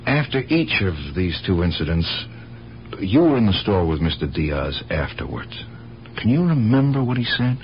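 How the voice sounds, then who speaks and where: An actor playing a methodical police detective in a radio drama, questioning patiently but insistently and deliberately. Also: male voice, low voice, sing-song. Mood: probing. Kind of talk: 1970s radio drama acting. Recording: muffled, noisy old radio recording.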